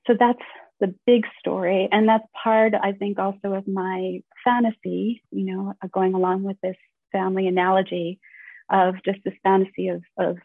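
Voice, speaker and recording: A woman hosting an interview, speaking informally and haltingly as she searches for words, over a thin call connection. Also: mid-range voice, little pitch movement, creaky, husky. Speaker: host interviewing a guest teacher. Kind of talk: informal spoken introduction and questions. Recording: thin phone-like call audio, roomy echo.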